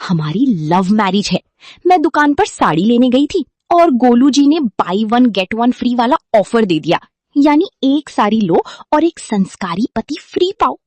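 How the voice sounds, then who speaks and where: A woman acts as a chirpy modern young daughter-in-law in a cartoon. Her high voice swings up and down playfully, in a cheeky vlogger style, recorded close and dry. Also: darkish tone, dry recording. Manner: playful, cheeky, mixes in English slang. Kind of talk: cartoon vlog-style storytelling.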